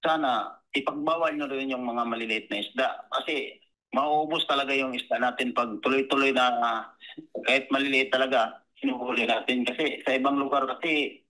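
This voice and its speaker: Bright-voiced Filipino man, halting interview talk. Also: medium pitch, steady tone, low-quality recording. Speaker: commercial fisherman being interviewed. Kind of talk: informal, halting interview answers.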